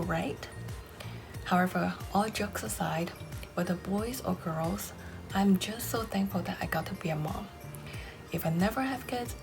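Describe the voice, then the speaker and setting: A woman in her forties, a native Cantonese speaker, talking about herself in a scripted personal vlog with a measured, careful delivery. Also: medium pitch, creaky. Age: 40s. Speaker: vlogger.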